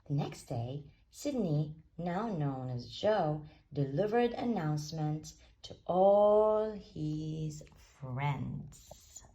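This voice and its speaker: Slow, expressive woman storyteller, slightly creaky. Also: low voice, rough recording. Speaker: read-aloud storyteller. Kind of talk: expressive children's storybook narration.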